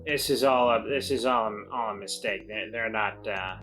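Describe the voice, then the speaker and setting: A man's voice: fairly low pitch, normal speed, bright, forceful tone, soft background music, poor audio. A man improvising in character as a player in a tabletop roleplaying game, with a conversational delivery.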